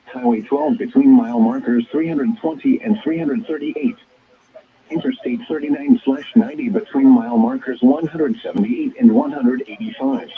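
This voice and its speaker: A synthetic American male announcer voice comes through a dull, lo-fi weather radio. He reads scripted bulletins formally and impersonally in segmented phrases, with a pitch that swings noticeably up and down. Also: gravelly. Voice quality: synthetic text-to-speech voice. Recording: dull, band-limited weather radio broadcast.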